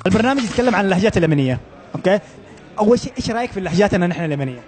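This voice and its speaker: A man with a Yemeni accent interviewing passersby in the street with brisk, upbeat questions. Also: sits high, very animated, over music. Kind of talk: street vox pop interviews.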